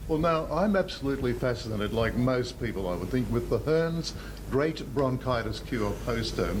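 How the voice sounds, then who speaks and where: Folksy male local news reporter, faint rumble. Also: animated, normal speed.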